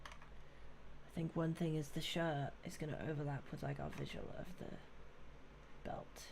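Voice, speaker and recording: A woman with a low, gravelly voice murmurs to her stream chat as she draws, often dropping to a half-whisper. She talks slowly and unhurriedly in a soft, relaxing way, close to a desk microphone. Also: level tone, slight background noise. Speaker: streamer drawing fan art. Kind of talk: casual live-stream chat while drawing. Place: at a desk at home.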